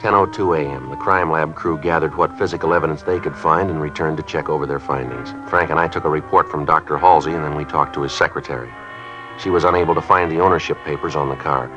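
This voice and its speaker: A stoic American police sergeant with a low voice, speaking in terse, procedural phrases. The style is fifties radio acting, on a dull vintage recording with prominent music. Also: male voice, fast. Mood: flatly factual. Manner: deadpan, terse, matter-of-fact. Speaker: lead actor and narrator of a police drama. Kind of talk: 1950s radio acting, clipped procedural narration.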